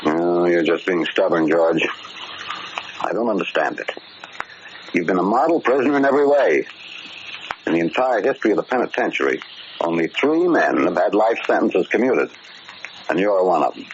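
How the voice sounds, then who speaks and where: Deep, animated, concerned prison warden. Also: male voice, moderate pace, pauses often. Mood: exasperated, concerned. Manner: earnest, insistent, questioning. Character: concerned, paternal, exasperated male prison warden. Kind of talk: 1940s radio drama acting. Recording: muffled, hissy vintage radio broadcast recording.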